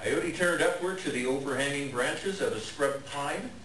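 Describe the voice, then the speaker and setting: A man's voice: on the high side, normal speed, fairly bright tone. A man narrating a scripted story expressively and dramatically for reader's theater. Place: indoor library reading, distant mic. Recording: very echoey room, distant, faint noise.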